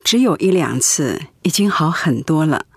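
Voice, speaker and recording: Husky, gentle ailing mother. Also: low voice, very animated, moderate pace, no echo, studio quality, full character voice. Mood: calm. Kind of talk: Mandarin radio drama acting.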